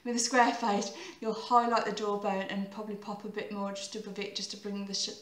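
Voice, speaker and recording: A woman in her thirties with a croaky voice, giving a chatty, rambling makeup tutorial and often correcting herself. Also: medium pitch, little pitch movement, brisk, echoey room. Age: 30s.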